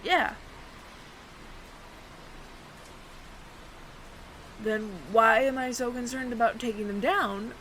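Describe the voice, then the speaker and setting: A woman's voice: a little high, moderate pace, fairly bright tone, a little hiss or hum, close to an everyday voice, low-quality recording. A woman playing a nervous, evasive alien roommate in an audio roleplay, stammering and over-explaining to the listener. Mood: evasive, improvising.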